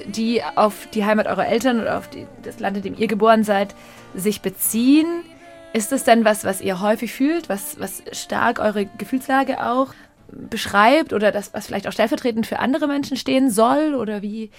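A woman's voice: fairly high pitch, moderate pace, creaky, faint music behind. A woman interviewer asking probing questions and rephrasing them as she goes.

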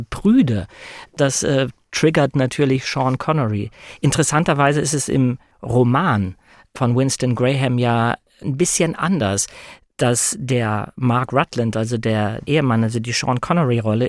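A man's voice: mid-range voice, no echo. Reflective man, dry close sound. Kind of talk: conversational film analysis.

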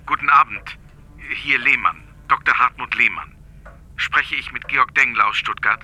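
A man's voice: mid-range voice, moderate pace, pushed and bright, low-quality recording. An actor playing a male lawyer in a radio drama, formal and urgent, with a slightly hesitant manner. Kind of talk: radio drama phone dialogue.

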